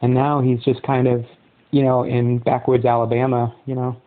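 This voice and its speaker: A man interviewing a guest by phone, asking questions in a measured, conversational way. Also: medium pitch, level tone. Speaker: interviewer, channel host. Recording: tinny phone line.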